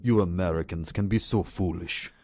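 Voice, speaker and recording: A man playing a sadistic Russian ex-intelligence captain in an audio drama, taunting and menacing with theatrical, drawn-out lines. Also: low-pitched, very noisy, lightly acted. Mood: mocking. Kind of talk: audio drama villain acting.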